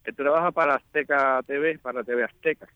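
A man's voice: mid-range voice, fairly even intonation, fairly quick, clear, resonant tone, no echo, lo-fi sound. A man talking as a magazine editor guest in a casual radio interview, chatty and often restarting his sentences.

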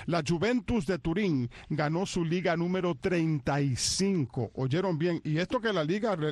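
A male sports co-host speaks in a fairly high voice, recorded close and dry. He delivers recaps and panel banter, rolling out foreign team names with showy pronunciation. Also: a little mellow, no room sound. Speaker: sports show co-host presenting soccer recap.

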